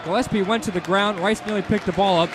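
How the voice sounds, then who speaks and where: High-pitched American man calling basketball over loud crowd. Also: fast talker. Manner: animated, excitable sportscaster delivery. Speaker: radio play-by-play announcer. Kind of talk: live basketball play-by-play commentary. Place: indoor basketball arena broadcast position. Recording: loud arena crowd noise behind voice.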